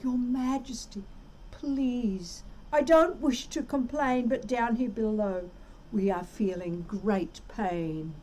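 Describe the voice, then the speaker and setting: Small storybook turtle, acted by a woman: meek, polite, aching, high plaintive voice, slow delivery. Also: warm tone, poor audio. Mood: pained, pleading. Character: plain little male turtle, meek, pained, pleading. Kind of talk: children's storybook read-aloud.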